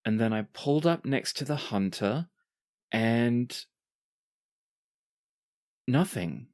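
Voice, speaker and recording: A man voicing a baffled late-teen scout who speaks haltingly in a tabletop game. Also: mid-range voice, lively intonation, darkish tone, dry recording, clean studio sound. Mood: staggered, halting. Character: dazed late-teen male scout back from death.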